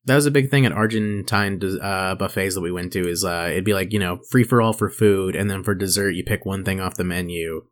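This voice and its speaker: A man's voice: low-pitched, steady tone, fairly quick, hardly any pauses. A man co-hosting a podcast, talking casually in a laid-back, slangy way. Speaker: podcast co-host. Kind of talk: casual banter, with some reading aloud.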